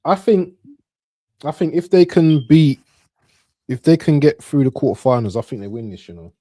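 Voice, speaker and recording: Male football stream host, dark relaxed tone, dry recording, informal running commentary. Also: on the high side, moderate pace, no room sound. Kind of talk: casual live match commentary and chat.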